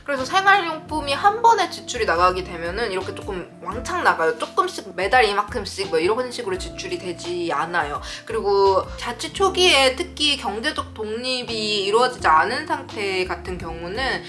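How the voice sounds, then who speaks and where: A woman's voice: medium pitch, sing-song, normal speed, hardly any pauses, music in the background. A woman in her thirties giving casual advice to viewers as a vlogger, speaking in a frank, emphatic way. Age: 30s. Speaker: vlogger answering viewer letters.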